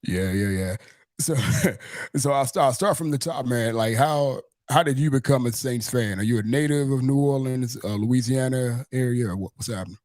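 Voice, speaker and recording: A man's voice: mid-range voice, moderate pace, a little mellow, no room sound, clean studio sound. A man from Mississippi, hosting a casual sports fan chat in a laid-back, slangy way, often restating himself. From Mississippi, United States. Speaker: host of a sports fan show.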